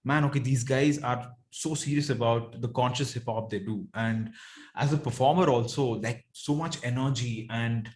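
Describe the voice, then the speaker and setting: A man's voice: mid-range voice, normal speed. A man from Bangalore with a South Indian accent, speaking casually and earnestly as a rapper being interviewed, rambling as he thinks. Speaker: rapper guest.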